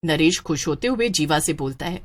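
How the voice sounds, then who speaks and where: A woman's voice: low voice, animated, moderate pace. A woman storyteller reading scripted narration for a horror story.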